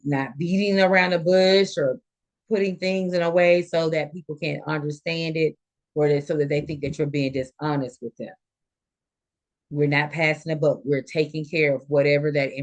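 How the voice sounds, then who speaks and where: Nursing instructor with mid-range voice, teaching informally. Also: medium pitch. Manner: explanatory, illustrates points with quoted examples. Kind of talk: informal live lecture to students.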